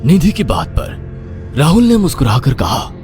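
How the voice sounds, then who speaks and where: A man's voice: on the high side, wildly swooping pitch, moderate pace, darkish tone. A man narrating a horror cartoon story in a dramatic, theatrical way. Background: background music.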